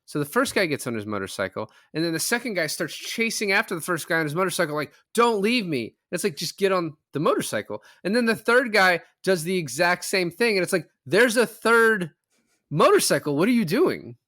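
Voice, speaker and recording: A man's voice: high voice, big pitch swings, a little fast, sharp tone, dry recording, studio quality. A man in a casual film-recap chat, rambling along and acting out imagined lines as he goes.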